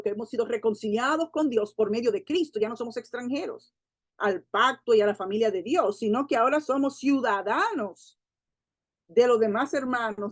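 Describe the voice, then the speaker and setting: Dominican woman Bible teacher, fairly high voice, dry close sound, slows for scripture, quick asides. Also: dry recording. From Dominican Republic. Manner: slows and lifts her voice for scripture, quick asides, frequent tag questions. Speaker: Bible teacher at a women's gathering.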